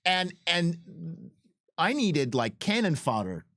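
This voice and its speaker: A man's voice: fairly high pitch, very animated, takes it slowly, a bit bright, dry recording, clean studio sound. A man speaking casually and unscripted as a game developer, emphatic and often repeating and restarting his phrases. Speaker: game developer on a commentary stream. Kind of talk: casual unscripted conversation about game design.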